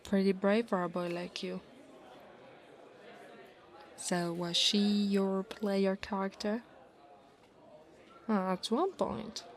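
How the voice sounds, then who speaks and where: A woman's voice: mid-range voice, fairly even intonation. A woman playing a big, domineering but insecure dragon college bully in an ASMR roleplay, with a teasing, haughty manner. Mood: smug teasing. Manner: teasing, haughty, drawn-out delivery with breathy asides.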